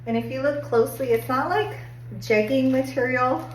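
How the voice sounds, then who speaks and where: A woman getting over a cold and a little hoarse, chatting upbeat through a clothing try-on review with lots of "like"s. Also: high voice, normal speed. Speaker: fashion haul vlogger. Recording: echoey room, distant mic, faint hum.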